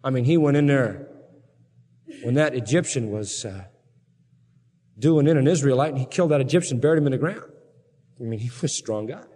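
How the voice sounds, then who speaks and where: A man's voice: mid-range voice, lively intonation, a little fast, long pauses, warm tone. A man preaching an expository sermon, reading scripture and retelling it in an emphatic, colloquial, dramatic storytelling way. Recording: reverberant hall sound.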